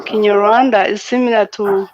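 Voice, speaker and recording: A woman's voice: mid-range voice, steady tone, a little fast, slight background noise. A woman from Rwanda, a panel guest chatting casually on a video call with many fillers and restarts. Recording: dull lo-fi call audio.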